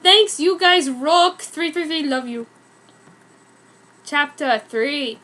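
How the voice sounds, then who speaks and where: High-voiced woman giving a theatrical story reading. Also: slow, pushed and bright. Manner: theatrical, often breaking into giggles. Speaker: narrator. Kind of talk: dramatic reading of amateur fan fiction.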